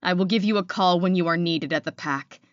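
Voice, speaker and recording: A woman's voice: on the low side, a little fast, no room sound, dull audio, natural voice. A woman voicing a commanding male werewolf pack leader in an audiobook, curt and authoritative. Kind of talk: audiobook character acting.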